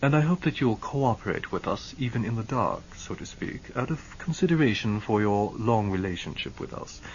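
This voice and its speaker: A man voicing a composed, measured male lawyer, on a muffled lo-fi recording. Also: on the low side, lively intonation, slight background noise, natural voice. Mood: calm. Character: calm, smooth-talking male lawyer.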